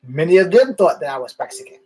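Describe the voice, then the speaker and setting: A man's voice: on the high side, very animated, quick pace, mellow, slightly rough. A man rambling through candid stories in a casual monologue, swearing now and then. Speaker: vlogger.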